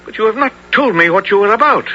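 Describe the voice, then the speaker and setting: An actor playing a bold, hearty English captain in a 1970s radio drama, declaiming in archaic formal speech. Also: male voice, high-pitched, lively intonation, fast talker, bright, forceful tone, full character voice. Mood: courteous, resolute. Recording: dull, faintly noisy old radio recording.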